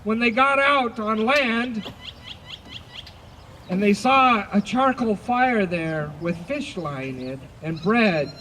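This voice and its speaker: A man with a very high voice narrates a scripture dramatization outdoors. He takes it slowly and deliberately, projecting each phrase, with faint outdoor noise and birds behind him. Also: expressive, sharp tone. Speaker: narrator of an Easter service dramatization. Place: outdoors by a lake. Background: birds and outdoor ambience.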